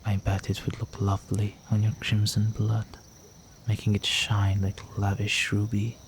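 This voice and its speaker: Low, slow, seductive vampire played by a man. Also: completely flat delivery, a little hiss or hum. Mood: tender, admiring. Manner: courtly, flirtatious, teasing, breathy with drops into whisper. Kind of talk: intimate scripted roleplay audio drama.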